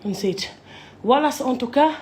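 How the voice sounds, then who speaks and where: A high-pitched woman from Côte d'Ivoire tells a winding personal story, swearing freely as she goes. Her voice bounces around a roomy space. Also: a little slow, a little mellow, a little hiss or hum. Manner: crude, swearing, wandering storytelling. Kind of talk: rambling live rant. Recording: roomy echo.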